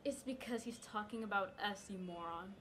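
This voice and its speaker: A woman's voice: mid-range voice, a little fast, a bit bright, slight background noise, natural voice. A woman acting a bossy, exasperated bank robber, curt and scolding, in an amateur radio drama.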